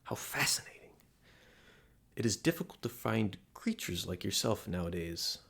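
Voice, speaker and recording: A man's voice: fairly low pitch, very animated, normal speed, gravelly, a bit bright, breathy edge, in a whisper, heavily acted. A man playing a flirty, theatrical palm reader at a fantasy inn, speaking in courtly, coaxing phrases to the listener in an intimate audio roleplay. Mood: intrigued, flirty.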